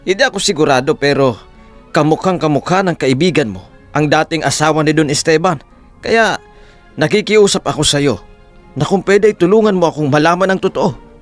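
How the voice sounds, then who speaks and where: Man acting an earnest, higher-voiced loyal aide. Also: animated, fairly quick, faint music behind, natural voice. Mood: earnest pleading. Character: earnest, loyal male trusted aide of a rich don. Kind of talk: audio drama acting.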